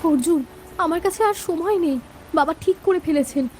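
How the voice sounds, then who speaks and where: A woman's voice: very high-pitched, normal speed, background noise. A woman playing an anxious young village sweetheart in a cartoon, urgent and pleading.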